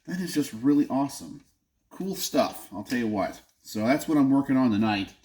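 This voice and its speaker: A man's voice: medium pitch, lively intonation, moderate pace, dark tone. A man with a nasal voice hosting his channel, talking casually and off the cuff into his phone in a home garage, with frequent ums and asides. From Texas. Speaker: channel host. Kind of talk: casual vlog talk. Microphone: phone camera.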